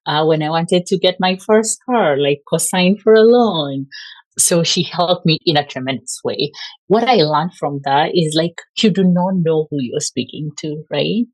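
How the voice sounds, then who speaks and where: Low husky Kenyan woman, chatty. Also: measured pace, dry recording, low-quality recording. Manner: chatty, frequent "like" fillers. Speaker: guest physician. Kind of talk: conversational interview.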